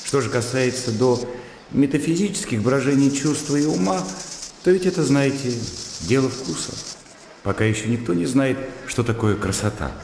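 An actor playing a composed, ironic young gentleman scholar in a radio play, dry and polite, with a creaky voice. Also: medium pitch, animated, normal speed, roomy sound, in a large hall, a little hiss or hum. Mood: polite, self-assured.

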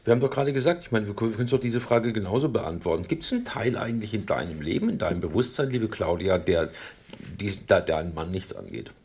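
An actor playing a blunt, provocative man in a radio comedy, husky and insistently needling. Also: fairly low pitch, sing-song, quick pace, a little mellow, heavily acted. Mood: probing, accusing. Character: needling, blunt man confronting a couple's pretence. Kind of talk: improvised comedy radio play.

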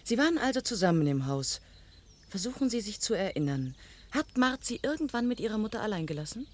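An actress playing a self-possessed amateur sleuth in a German radio crime drama, direct and composed in manner. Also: female voice, medium pitch, sing-song, a little fast. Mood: probing.

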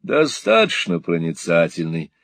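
A cold, terse older moneylender who answers in dry, cutting remarks, as a man reading a story puts him on. The voice moves lively in pitch and sits in a dry, close recording. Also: measured pace, no room sound, heavily acted. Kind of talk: audiobook reading.

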